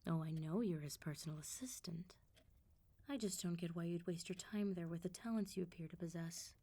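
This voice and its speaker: A woman voicing a suave, menacing female vampire mob boss in an ASMR roleplay, politely teasing and threatening with unhurried control. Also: on the low side, mellow, slightly rough. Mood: probing, controlled.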